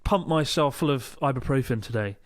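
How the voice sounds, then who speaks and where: A man's voice: mid-range voice, fairly quick, dry recording, clean studio sound. A man co-hosting a podcast in casual chat, telling stories with plenty of swearing. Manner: swears freely, animated storytelling. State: in pain from a strained arm muscle. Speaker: podcast co-host.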